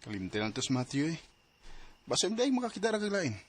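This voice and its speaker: A man's voice: a little high, sing-song, fast, heavy background noise. An actor with a Cebuano accent playing an easygoing, blunt male friend in a radio drama. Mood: advising.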